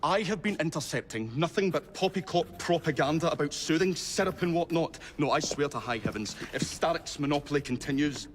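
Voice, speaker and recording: An actor playing an eccentric, courteous and flustered Victorian inventor in a video game cutscene. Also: male voice, fairly high pitch, brisk, hardly any pauses, big-room sound, slight background noise, lightly acted. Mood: exasperated. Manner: flustered, hesitant, effusively polite. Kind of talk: theatrical game cutscene acting.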